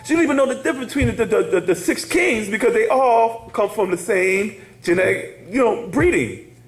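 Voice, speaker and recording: A man's voice: high-pitched, expressive, fairly quick, echoey room. A man teaching a casual class, rambling and laughing, often asking "you hear me?". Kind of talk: casual spoken class lecture.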